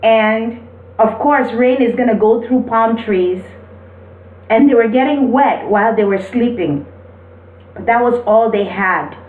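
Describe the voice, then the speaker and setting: A woman from Cameroon gives an earnest, encouraging motivational talk with personal storytelling, speaking deliberately as the host of a video. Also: fairly high pitch. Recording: roomy, distant mic, thin phone-like sound.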